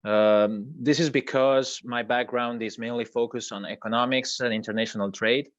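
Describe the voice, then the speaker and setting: An Italian-native man talks through his work at a moderate pitch, stopping and restarting his sentences often. The audio is dull and lo-fi, with no room sound at all. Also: fairly even intonation, moderate pace, dry recording. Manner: hesitant, many fillers and restarts. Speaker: crypto project co-founder guest. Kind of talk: online video interview.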